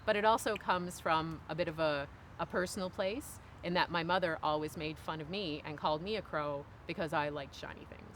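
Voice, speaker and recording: Woman in her forties, slightly low voice. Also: a little fast, few pauses, a bit bright, slight background noise. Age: 40s. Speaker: novelist, interview guest. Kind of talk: conversational interview answers. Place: outdoors on a wooden bench by woods.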